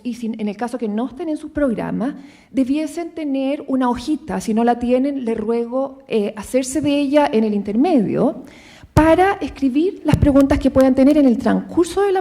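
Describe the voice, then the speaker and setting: A woman with a Chilean accent moderating a seminar on a theater stage, speaking formally with the odd filler. Her voice is high, yet its tone is dark and mellow. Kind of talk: event announcements and introduction.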